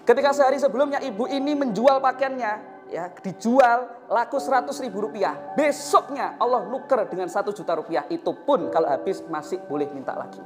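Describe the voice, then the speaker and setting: Javanese-accented male preacher over music. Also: sits high. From Indonesia. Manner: emphatic, repeats phrases and asks rhetorical questions. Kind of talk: storytelling sermon.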